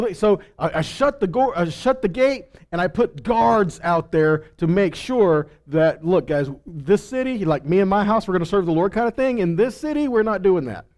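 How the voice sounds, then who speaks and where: A man's voice: high voice, darkish tone. A man giving an informal sermon as a Bible teacher, reading verses and commenting conversationally with rhetorical questions and imagined replies.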